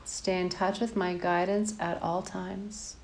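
A woman leading a guided meditation as a teacher, giving calm, measured instructions in short phrases with pauses between them. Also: mid-range voice, a little hiss or hum.

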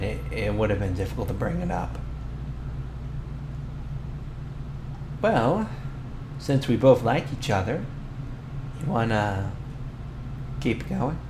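A man acts out a young male bully who is secretly smitten, in an ASMR boyfriend roleplay. He stammers bashfully at a slow pace, with pitch that swings widely. The room echoes around him. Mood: bashful, flustered. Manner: halting, stammering, bashful delivery. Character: flustered, tough-talking young male bully with a soft crush. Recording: roomy echo, noisy ambience behind.

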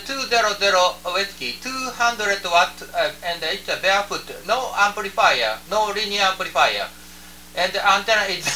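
Male radio amateur, Japanese accent, piercingly bright, halting and repetitive delivery, distant mic with steady noise. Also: high-pitched. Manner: halting, repeats phrases. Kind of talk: ham radio contact chat with phonetic call signs. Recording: noisy shortwave radio setup, distant microphone, hum.

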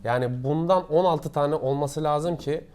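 A man's voice: on the high side, unhurried, darkish tone. A man explains products casually in an interview as a knowledgeable seller, going step by step with examples.

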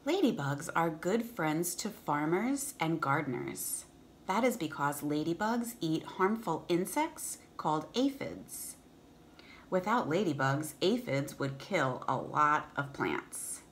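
Slow, lilting woman reading to children. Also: sits low, animated, slight background noise. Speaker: teacher reading aloud. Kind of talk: children's picture-book read-aloud with short comments. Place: room in a home.

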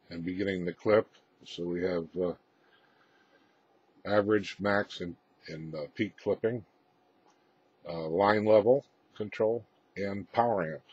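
A man giving an unscripted technical walkthrough as a presenter, in a halting, matter-of-fact way with a husky voice. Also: on the low side. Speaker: presenter demonstrating his radio equipment. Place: indoor room with equipment rack. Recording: roomy, slightly muffled home-video sound.

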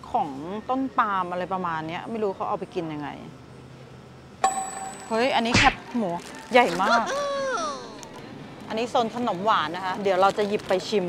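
A woman's voice: mid-range voice, moderate pace, pauses often, very noisy. A woman in her thirties, vlogging casually as she walks a grocery store and tastes food in her car, talking straight to viewers, recorded on a phone held at arm's length. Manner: chatty, addresses viewers directly, excitable. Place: supermarket aisles and parked car. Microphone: handheld phone camera at arm's length. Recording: phone video with music underneath. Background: background music.

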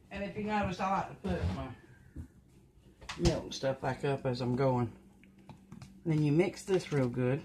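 An older woman at home giving plain, unhurried step-by-step cooking instructions in her kitchen. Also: deep voice. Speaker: home cook. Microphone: camera at the counter, off-screen speaker. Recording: noisy home recording. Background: mixer and kitchen clatter.

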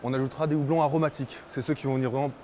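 A man's voice: medium pitch, fairly even intonation, racing, warm tone, a little hiss or hum. A man in his thirties, a brewer, explaining a process step by step for an interview. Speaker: brewer, co-manager. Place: indoors in a brewery hall. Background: music.

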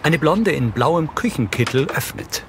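A man's voice: fairly high pitch, animated, unhurried, background noise. A man reading neutral, scripted audio description for a film. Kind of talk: neutral scripted narration, present tense.

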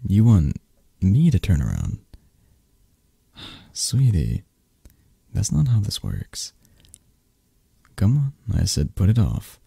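A man playing a possessive, flirtatious mafia boss in an intimate ASMR romance roleplay, murmuring sleepily and teasingly with a breathy, husky voice. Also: low voice, huge pitch swings, slow pace, pauses often, dark tone, dry recording, full character voice. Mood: commanding, teasing.

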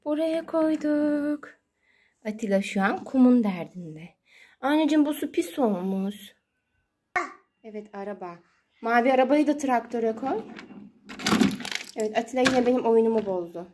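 A woman's high voice speaks in playful baby talk, as a mother does with a small child. She narrates the game in a sing-song way, repeating little words. The recording is a homemade one with clear background noise. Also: animated, normal speed, long pauses, a little mellow. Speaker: mother playing with her young child. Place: indoors at home beside water play tubs. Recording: noisy home phone recording with clicks.

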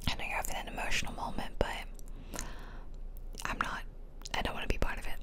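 A woman's voice: fairly quick, pushed and bright. A woman giving whispered ASMR game commentary with playful, casual asides, recorded close to the microphone. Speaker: gaming streamer. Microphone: close ASMR microphone, ear to ear.

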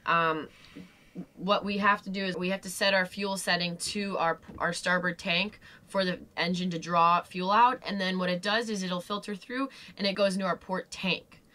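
A woman's voice: on the low side, fairly even intonation, normal speed, pushed and bright. A young woman sitting in a boat cabin, casually explaining boat work step by step. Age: young adult. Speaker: sailing vlogger.

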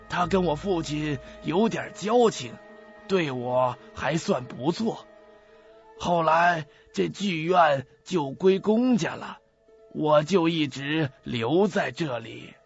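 A male actor plays a chatty old theater handyman in a radio drama, gravelly and husky. He boasts in a folksy, slow, drawn-out way. The audio is muffled, with faint music behind. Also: fairly high pitch. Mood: reminiscing. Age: older.

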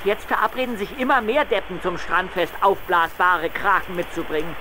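A man playing an excitable, exasperated teenage boy detective sidekick in an adventure game, heard over a phone call. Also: high voice, normal speed, noisy background, lightly acted. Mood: agitated. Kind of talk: German adventure game voice acting.

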